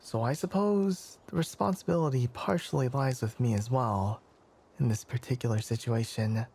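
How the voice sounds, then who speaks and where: A man playing a flustered, formal young fox-eared forest scholar in an intimate ASMR anime roleplay, hesitant and stammering, often slipping into a breathy whisper. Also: medium pitch, moderate pace, a little mellow. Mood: flustered, protesting.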